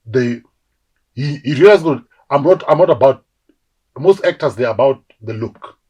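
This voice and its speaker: A South African man in his forties talks through his career in a relaxed interview. His voice is husky and mid-pitched. He tells his stories with energy and acts out the voices of the people he quotes. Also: moderate pace, dull audio. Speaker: actor guest. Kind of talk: casual interview storytelling.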